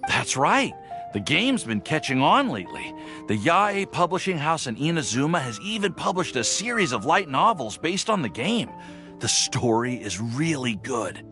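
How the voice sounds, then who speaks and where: A man's voice: on the high side, normal speed, full character voice. A man playing an affable, awkward young alchemist in a video game, chatty and easily flustered. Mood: amused, enthusiastic. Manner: hesitant, friendly, animated. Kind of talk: video game character acting. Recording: music playing behind the voice.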